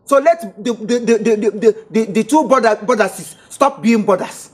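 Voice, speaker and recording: An actor with a Nigerian accent playing an excitable young man who stammers and pleads in pidgin. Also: sits high, moderate pace, close to an everyday voice. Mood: pleading. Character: excitable broke young man chasing his money. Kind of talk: film comedy acting.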